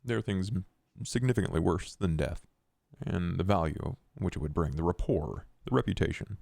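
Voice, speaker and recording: A man playing an ambitious, scheming vampire aristocrat in a tabletop game, speaking in formal, wordy, measured phrases. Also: low-pitched, gravelly, a little mellow, no room sound, clean studio sound. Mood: calculating. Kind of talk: in-character tabletop roleplay.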